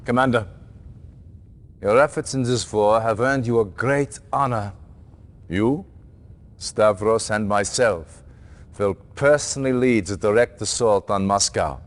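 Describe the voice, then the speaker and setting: An actor playing a stern, resolute military general in a game cutscene, giving orders with formal, clipped authority. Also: male voice, lowish voice, unhurried, pauses often, close to an everyday voice. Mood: rousing, resolute. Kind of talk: dramatic video game cutscene acting. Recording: long hall-like reverb.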